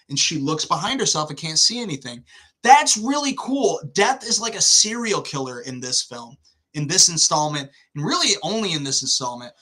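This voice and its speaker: Male review host, very bright forceful voice on the higher side, slight lisp, slangy and profane. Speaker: host of a film review show. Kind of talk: casual, profane film review commentary.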